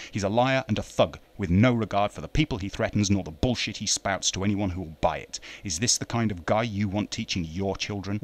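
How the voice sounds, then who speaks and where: A man delivering a scripted debunking narration in an articulate, lecturing way, with scornful sarcasm. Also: low voice. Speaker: video narrator.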